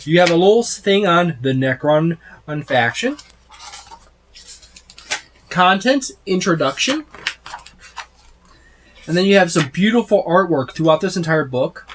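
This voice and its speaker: A man's voice: high voice, expressive, unhurried, pauses often, fairly bright tone, a little hiss or hum. A man in his thirties hosting a tabletop gaming video from a room full of game shelves, rambling through a rulebook with many fillers and restarts. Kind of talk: casual book overview. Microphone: special microphone, close.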